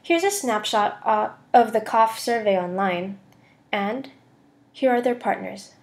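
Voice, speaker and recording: A woman's voice: medium pitch, a little slow. A young woman student reading a scripted voice-over for a slide presentation. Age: young adult.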